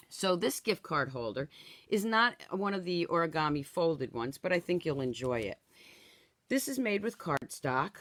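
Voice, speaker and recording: A woman in her sixties, slightly hoarse from a cold, leading a live craft tutorial in a chatty way and often checking in with "okay?". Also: low voice, fairly bright tone, dry recording. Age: 60s. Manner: chatty, tags instructions with "okay?". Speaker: stamping craft demonstrator.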